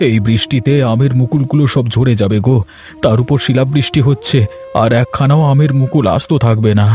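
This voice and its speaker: A man's voice: medium pitch, fairly even intonation, a little fast, warm tone, soft background music, natural voice. A man voicing a hapless, anxious farmer husband in a Bengali cartoon, given to moaning and pleading exclamations.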